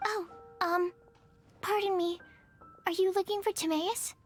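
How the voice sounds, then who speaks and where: A woman's voice: extremely high pitch, steady tone, measured pace. A woman voicing a shy, bookish young female alchemist's assistant in a video game, timid, stammering and apologetic. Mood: nervous, polite. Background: game music.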